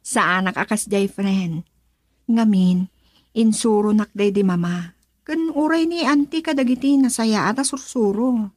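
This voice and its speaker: A woman's voice: mid-range voice, unhurried, dry recording, clean studio sound, close to an everyday voice. A young woman with a Filipino accent acting a hesitant, earnest daughter who is considering marriage in a radio drama. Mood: casual, earnest.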